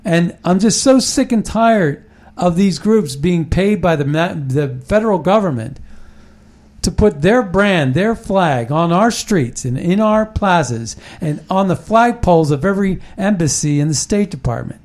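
A man's voice: high voice, expressive, measured pace, darkish tone. A man hosting a casual political talk show, rambling and emphatic, often restarting his sentences.

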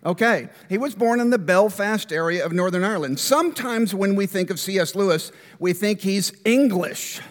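An older man, a guest minister, giving a relaxed conversational lecture to a church class, often clearing his throat. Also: high voice, moderate pace, few pauses, big-room sound. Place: large church room.

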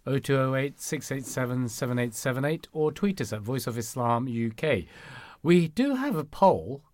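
A man's voice: mid-range voice, moderate pace, slight background noise. A man hosting a radio show in a studio, talking casually with many fillers, whose first language is Cantonese. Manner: relaxed, chatty, many fillers. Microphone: studio mic.

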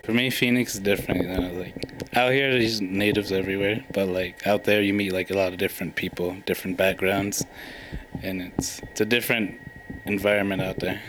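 Soft-spoken young man, flat low voice. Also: a little fast. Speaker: band member. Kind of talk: casual interview answers. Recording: faint ambient noise.

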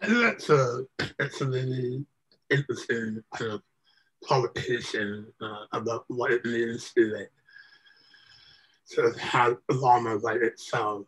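A man's voice: medium pitch, unhurried, fairly bright tone, breathy edge, rough recording. A man whose mother tongue is Malayalam, interviewing a guest in a hesitant, thoughtful way with many fillers. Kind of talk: casual conversation.

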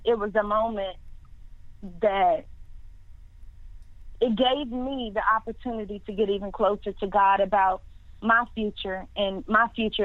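A woman's voice: medium pitch, no echo. Young woman on a tinny phone line. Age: 20s. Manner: warm, faithful, repeats words and restarts often. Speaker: singer guest. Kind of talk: casual interview answers.

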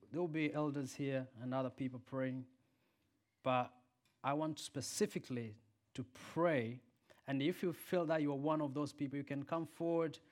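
A man preaching a sermon and leading a prayer, a native Swahili speaker who swings from loud emphatic peaks to soft quiet lines. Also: mid-range voice.

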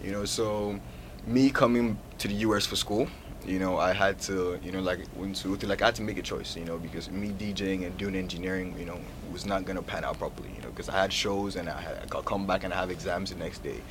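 Guy, real deep voice, talks fast, lots of you know, noisy background. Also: fairly even intonation. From Lagos, Nigeria. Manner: rapid, peppers speech with "you know". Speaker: guest DJ. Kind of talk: interview answers.

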